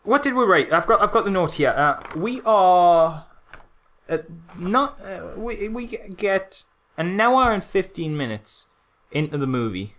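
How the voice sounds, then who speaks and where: A man co-hosting a podcast, chatting casually and comically about films in an animated, mock-serious way with rhetorical questions. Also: high-pitched, a little slow. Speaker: podcast co-host. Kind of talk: casual comedic film critique chat.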